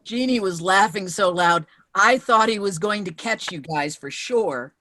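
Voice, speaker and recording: A woman's voice: mid-range voice, unhurried, pushed and bright, slight background noise. An actress reading the part of a determined widow in her fifties at a screenplay table read. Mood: sly, confiding.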